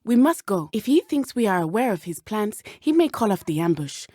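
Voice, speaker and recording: Brave teen witch girl from a video game, lively pitch, careful formal wording, dry close sound. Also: dry recording. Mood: serious, determined. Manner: precise, measured, formal diction. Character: earnest, brave teenage schoolgirl witch from Uganda. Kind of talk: video game character dialogue.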